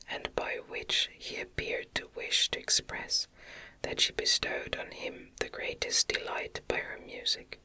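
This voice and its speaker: A woman reading a gothic novel aloud in a gentle, breathy ASMR whisper, with careful phrasing, close to the microphone. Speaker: audiobook reader.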